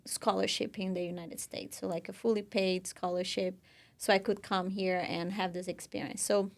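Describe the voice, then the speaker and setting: A young woman from Brazil with a Brazilian Portuguese accent, co-hosting a podcast and telling her own story in an earnest, casual way full of "you know" and "like". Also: medium pitch. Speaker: podcast co-host.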